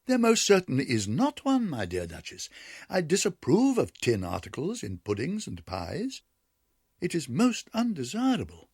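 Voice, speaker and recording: A man's voice: on the high side, wildly swooping pitch, moderate pace, a little mellow, no echo, clean studio sound, pitched above the performer's own voice, full character voice. A man voicing a prim, proper female cat hostess who speaks with polite disapproval.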